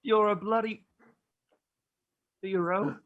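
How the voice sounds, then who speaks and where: A woman playing a tender, grieving young friend in a stage play reading, soft and emotional, heard through thin, phone-like audio. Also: medium pitch, steady tone, slow pace, natural voice. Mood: tender grief. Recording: thin, phone-like microphone sound.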